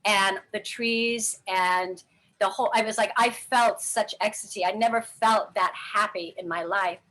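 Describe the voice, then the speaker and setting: A woman's voice: medium pitch, steady tone, unhurried, a bit bright, low-quality recording. A middle-aged woman telling her life story candidly in an interview, rambling with many fillers and restarts. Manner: frank, earnest. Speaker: interview guest.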